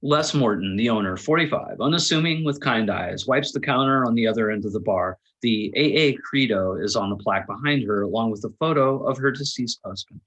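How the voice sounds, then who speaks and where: A man reads out screenplay action lines as the narrator of a table read. His voice is middling in pitch with a husky grain. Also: fairly quick, few pauses, fairly bright tone, muffled recording. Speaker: narrator reading stage directions.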